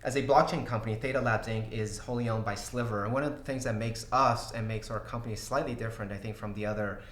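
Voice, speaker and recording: A man's voice: lowish voice, fairly even intonation, a bit bright. Middle-aged guy from brazil hosting a stream q&a, lots of uhs and ums, roomy office sound. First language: Portuguese. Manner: halting, many fillers and restarts, laughs easily. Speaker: company CEO hosting an AMA. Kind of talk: casual livestream Q&A. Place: small office studio with glass walls.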